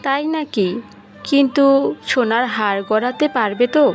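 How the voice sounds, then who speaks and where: A woman's voice: on the high side, moderate pace, faint music behind, close to an everyday voice. A woman voicing an anxious, practical village wife and mother in a cartoon, persuading and coaxing as she talks. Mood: doubtful. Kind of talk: cartoon story dubbing.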